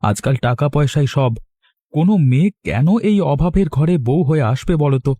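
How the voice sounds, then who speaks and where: A man's voice: a little high, expressive, a little fast, warm tone, no echo, close to an everyday voice. A man playing a poor, hardworking young farmer in a Bengali cartoon, humble, plain-spoken and resigned.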